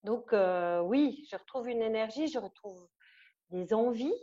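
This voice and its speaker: A woman from Switzerland with a Swiss French accent, giving an enthusiastic, halting testimonial full of fillers and restarts. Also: on the high side, lively intonation, mellow, muffled recording. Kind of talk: casual testimonial interview.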